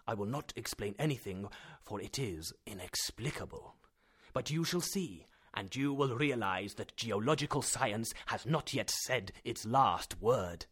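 A man's voice: fairly low pitch, expressive, measured pace, fairly bright tone, slightly rough, heavily acted. A man playing an emphatic, affectionate older professor. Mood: dry, firm.

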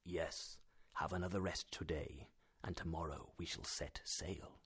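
A man voicing an affectionate older professor uncle in a storybook, with emphatic, deliberate, drawn-out phrasing. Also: fairly low pitch, animated, fairly bright tone, breathy edge, heavily acted. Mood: firm, cautioning.

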